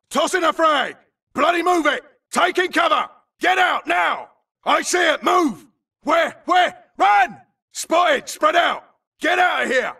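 An actor playing a frantic, aggressive grunt soldier in a shooter game, yelling clipped, urgent battlefield callouts. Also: male voice, extremely high pitch, gravelly, distant mic, full character voice. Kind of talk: shouted combat barks for a shooter game.

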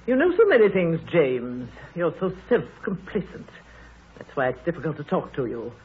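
An actress playing a chatty, self-satisfied middle-aged spinster who fancies herself a sleuth, in a 1930s radio drama. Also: female voice, low-pitched, sing-song, normal speed, slight background noise. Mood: smug, needling. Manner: gossipy, opinionated. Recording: muffled, narrowband 1930s radio broadcast.

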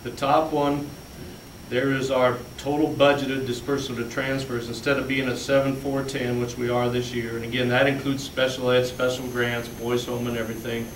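A man plainly walks a board through budget handouts, pitch sitting in the middle of the range. He sounds far from the microphone in a roomy, echoing meeting hall. A faint hum runs underneath him. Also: flat delivery, moderate pace. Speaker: school business official presenting budget. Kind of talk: explaining figures at a school board meeting. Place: large meeting room with fluorescent lights. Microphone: far room camera. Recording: echoey room, distant mic, faint hum.

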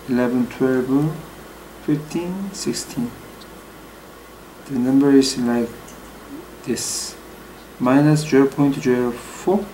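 A man with a Korean accent, a native Korean speaker, slowly working through calculations aloud at a desk in his room, hesitant, with many pauses and fillers. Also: mid-range voice, mellow, a little hiss or hum, low-quality recording. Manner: slow and hesitant, full of pauses and fillers, reading numbers aloud. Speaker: hobby mechanic explaining engine work. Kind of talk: thinking-aloud tutorial. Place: small room at home.

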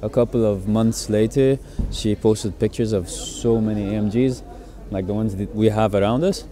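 A man's voice: fairly low pitch, fairly even intonation, normal speed, dark tone. A man in his thirties from Egypt telling a story hesitantly, with many restarts, in a casual interview. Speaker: car enthusiast.

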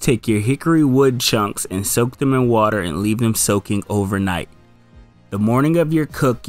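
A man giving casual, relaxed step-by-step cooking instructions as a voice-over. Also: fairly low pitch. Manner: casual, relaxed instruction with "you're gonna wanna". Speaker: family member demonstrating a recipe.

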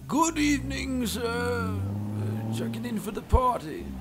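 High-pitched banquet attendant played by a man. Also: huge pitch swings, takes it slowly, heavy background noise, heavily acted. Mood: eager. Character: obliging male banquet hall attendant. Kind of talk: old-time radio acting.

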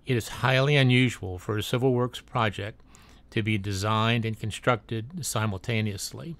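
A man's voice: lowish voice, moderate pace, fairly bright tone. A man narrating a drone video as a read-aloud factual voice-over, with occasional slips and restarts. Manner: reads a factual text, with small slips and restarts.

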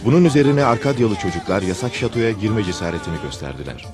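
A man's voice: on the low side, animated, quick pace. A man giving scripted narration for a dubbed cartoon. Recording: TV narration over music.

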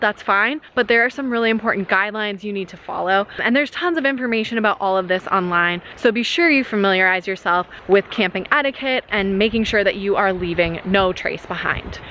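A woman's voice: mid-range voice, a bit bright, muffled recording, a little hiss or hum. Upbeat young woman giving van-life tips. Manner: upbeat, earnest advice-giving. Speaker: travel vlogger. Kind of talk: casual explainer to camera. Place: inside a camper van and outdoors in a forest campground. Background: faint ambience, a river rushing nearby.

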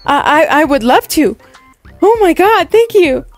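A woman voicing an earnest, modest young actress in a story roleplay, with a halting, gushing manner. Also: high voice, big pitch swings, no room sound, soft background music, pitched above the performer's own voice. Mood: overjoyed.

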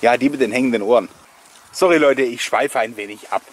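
A man's voice: mid-range voice, brisk, low-quality recording. A man hosting a nostalgic video with chatty, rambling voice-over commentary and personal anecdotes, often chuckling as he goes. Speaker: host of a collectors' nostalgia video channel.

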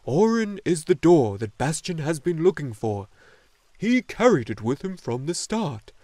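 A man voicing a wise, joyful luck dragon in a storybook, speaking slowly and solemnly with great deliberation. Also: on the high side, very animated, a little mellow, no room sound, voice pushed up. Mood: solemn, measured. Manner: slow, solemn, deliberate delivery.

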